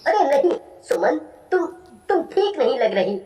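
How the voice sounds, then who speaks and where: A woman's voice: high-pitched, big pitch swings, normal speed, mellow. A woman voicing an anxious, devoted young husband in a cartoon story, earnest and pleading, in an echoey, distant recording. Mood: worried.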